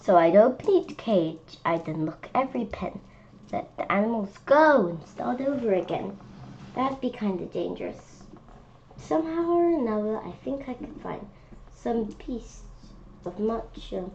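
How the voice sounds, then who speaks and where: Female children's book reader, unhurried and halting with curious asides; lo-fi audio with faint background noise. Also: medium pitch, lively intonation, darkish tone. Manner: halting reading with asides and wondering questions. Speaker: read-aloud presenter. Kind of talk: children's picture book read-aloud with spontaneous comments. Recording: dull lo-fi sound with faint noise.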